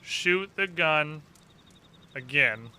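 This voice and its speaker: A man's voice: fairly high pitch, expressive, extremely slow, sharp tone, smooth. A man giving casual live commentary as he plays a game, muttering to himself and swearing freely. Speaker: game streamer.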